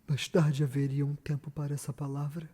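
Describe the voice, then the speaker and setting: A haunted, guilt-ridden tyrant with a Brazilian accent and a high-pitched male voice. The delivery is theatrical and declamatory, a grand Shakespearean stage monologue. Every line rings in a long, echoing reverb. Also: little pitch movement, a little fast, mellow, slightly rough. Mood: haunted, brooding. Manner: dramatic, declamatory, swinging from murmur to cry. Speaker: stage actor. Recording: long reverberant echo around the voice.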